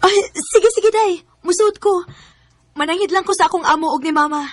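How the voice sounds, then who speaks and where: A woman's voice: very high voice, a little fast, no room sound. A woman acting a struggling, tender young single mother in a radio drama, earnest and emotive, with a Bisaya Filipino accent. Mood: weary, hopeful.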